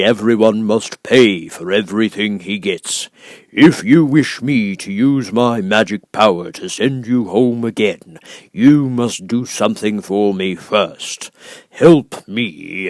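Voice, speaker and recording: Oz, a booming, imperious giant head. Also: male voice, slow pace, no room sound. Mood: stern, commanding.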